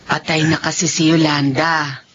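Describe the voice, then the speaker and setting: A woman playing an elderly, gently concerned mother and grandmother in a radio drama. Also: sits low, flat delivery, measured pace, a little hiss or hum. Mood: sorrowful. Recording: dull lo-fi audio. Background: background music.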